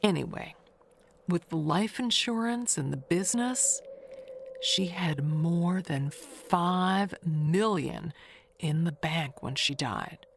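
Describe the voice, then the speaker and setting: An actress performs an older woman neighbor telling a mystery tale in an audio drama. She speaks slowly in a lowish voice, confiding and rambling, with a wistful undertone. Noise runs clearly behind her. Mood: gossipy, amazed. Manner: confiding, rambling, self-correcting.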